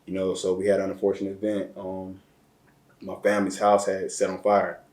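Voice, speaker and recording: A man with a very deep voice, speaking in an almost unchanging monotone. He tells his story slowly, restarting words as he goes. The room gives his voice a noticeable echo. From Chicago area, Illinois. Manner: slow, flat delivery with repeated words and slang. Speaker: interview guest. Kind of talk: reflective personal storytelling. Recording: roomy echo.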